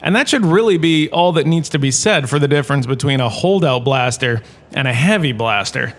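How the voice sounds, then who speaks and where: A man's voice: medium pitch, lively intonation, brisk, close to an everyday voice. A man playing an enthusiastic, quirky lecturer, a washed-up star, in a scripted comedic audio drama, chatty and full of showy asides. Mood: enthusiastic, informative.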